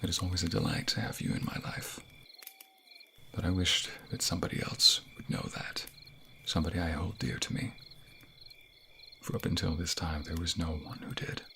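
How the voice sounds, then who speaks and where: A man performs a tender fantasy king in an intimate ASMR role play. His voice is low and gravelly, often breathy, with courtly, formal phrasing. Faint night insects sit behind him. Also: level tone, normal speed, pauses often, sharp tone, slight background noise, exaggerated voice. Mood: earnest, reflective. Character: tender young king confiding in his secret lover.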